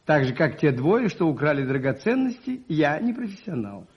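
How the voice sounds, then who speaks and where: An actor playing a mild, modest priest-detective in a radio play, hesitant and self-effacing with gentle irony. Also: male voice, on the high side, very animated, normal speed. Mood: quietly wry. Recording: muffled 1981 radio recording.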